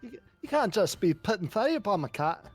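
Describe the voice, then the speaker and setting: A man's voice: high-pitched, big pitch swings, a little fast, breathy edge, no room sound, soft background music. A man playing in a streamed tabletop game, bantering casually and jokingly, often repeating himself.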